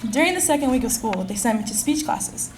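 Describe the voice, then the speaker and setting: A teenage girl, a high school senior, earnestly delivering a prepared personal speech at a school event. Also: lively intonation, a little mellow, faint music behind. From California. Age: teens. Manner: earnest, reading from a script at a quick clip.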